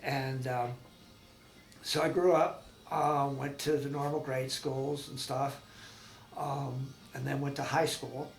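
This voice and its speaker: A man in his sixties from Massachusetts, recalling his life in a halting, rambling oral-history interview at home. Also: medium pitch, little pitch movement, vocal fry, roomy sound. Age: 60s. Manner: rambling, halting with many ums and restarts. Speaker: veteran interviewee.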